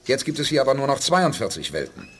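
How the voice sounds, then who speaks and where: An actor playing a confident, stubborn spaceship commander with firm, dryly ironic delivery in a 1980s German radio play. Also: male voice, mid-range voice, big pitch swings, quick pace, a bit bright, slight background noise. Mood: explaining.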